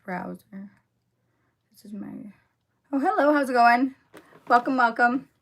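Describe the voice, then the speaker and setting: Hoarse young woman chatting on a livestream. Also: on the high side, expressive, a little slow, a bit bright. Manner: chatty, filler-heavy, talks to viewers in the comments. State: hoarse from a cold. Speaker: livestream host. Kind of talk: casual livestream chat while doing makeup.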